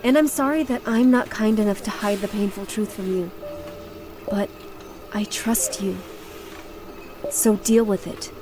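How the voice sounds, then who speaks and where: A woman's voice: mid-range voice, fairly even intonation, unhurried, pauses often, music in the background, close to an everyday voice. An actress playing an earnest, firm young woman of faith in a video game cutscene. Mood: earnest, blunt.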